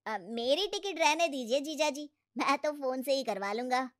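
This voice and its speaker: Woman voicing a high-pitched cocky young man. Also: expressive, moderate pace, a bit bright, no room sound, studio quality. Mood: smug, breezy. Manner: breezy, know-it-all. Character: cocky phone-obsessed twenty-year-old young man. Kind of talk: animated moral story character acting.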